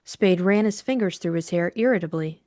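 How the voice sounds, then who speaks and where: A woman's voice: fairly low pitch, fairly quick, darkish tone, no echo. Woman narrating an audiobook, very dry sound.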